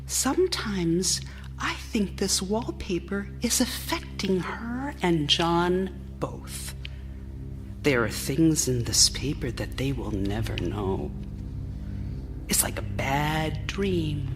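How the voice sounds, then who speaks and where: A confined wife unravelling into obsession in a stage radio drama. The delivery is slow and confiding, swinging widely between hushed and agitated, with music underneath. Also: female voice, low voice, lively intonation. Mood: hushed, suspicious. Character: fretful confined wife sinking into obsessive madness. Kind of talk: live theatrical radio drama monologue.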